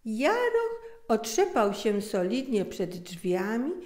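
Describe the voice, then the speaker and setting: A middle-aged woman reading a story aloud as an expressive narrator. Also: fairly high pitch, takes it slowly. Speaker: author reading own story. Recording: echoey room.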